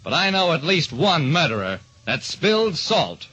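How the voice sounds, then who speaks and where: A man's voice: fairly high pitch, big pitch swings, moderate pace, pushed and bright, slightly rough, no echo, rough recording. A man narrating and hosting in 1940s radio style, on an old radio recording. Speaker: detective show star and quiz host.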